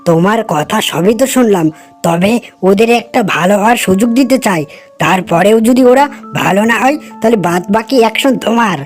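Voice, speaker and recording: A performer voicing a fair-minded, stern magical tigress in a cartoon, speaking in a measured, admonishing way. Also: mid-range voice, soft background music, lightly acted. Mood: fair, knowing. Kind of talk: cartoon character acting.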